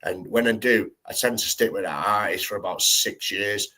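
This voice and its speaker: A man's voice: lowish voice, moderate pace, sharp tone. A man hosting a live stream, chatting casually in slangy, rambling talk full of restarts.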